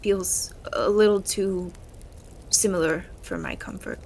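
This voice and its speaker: A woman's voice: medium pitch, expressive, unhurried, gravelly, a little mellow, a little hiss or hum. A woman playing an awkward, anxious male warlock in a fantasy audio drama game, stammering and hesitant but earnest, with music behind.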